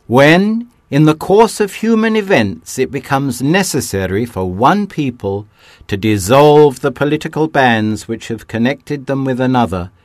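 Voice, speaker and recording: A man reads aloud with solemn, measured gravity. His pitch swings widely, climbing at the start of a phrase and sinking low at its close. The sound is dry and close, with no room around it. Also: no room sound. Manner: measured, dropping low at sentence ends. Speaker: host reading a founding document. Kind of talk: solemn formal reading aloud.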